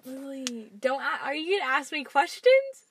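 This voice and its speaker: A young woman with a high voice chats as a podcast co-host. She is informal and halting, often doubting herself. The home-made recording carries some faint noise and clicks. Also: sing-song. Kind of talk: casual unscripted chat.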